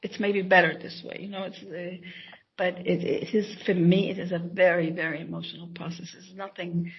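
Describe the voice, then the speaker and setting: Husky, gravelly woman, Hebrew native. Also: lowish voice, level tone, moderate pace, muffled recording. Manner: hesitant, reflective, many restarts and soft laughs. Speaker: author. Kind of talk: interview answers.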